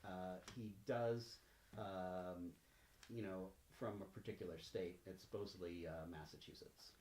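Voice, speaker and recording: A man narrating a tabletop roleplaying game as game master, feeling his way through in a halting delivery. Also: low-pitched, fairly even intonation, darkish tone. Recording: roomy echo, faint-to-clear noise.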